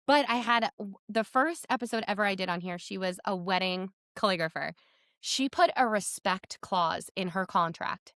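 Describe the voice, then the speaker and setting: A woman's voice: mid-range voice, normal speed, a bit bright, dry recording, clean studio sound. A woman hosting a casual podcast chat, talking in a chatty, emphatic way and often saying "like". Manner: chatty, emphatic, peppers talk with "like". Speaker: podcast host.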